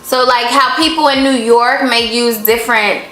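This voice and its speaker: A woman's voice: on the high side, moderate pace, sharp tone, reverberant, poor audio. A woman co-hosting a casual couple vlog who asks a lot of curious, playful questions. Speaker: co-host asking about Jamaican phrases.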